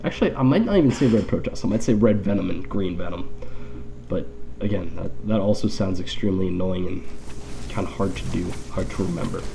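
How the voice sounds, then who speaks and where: A man's voice: sits low, a little fast, creaky, background noise. A man with a nasal, congested voice from allergies gives casual live game commentary with wry, rambling, joking asides. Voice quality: nasal, stuffed-up.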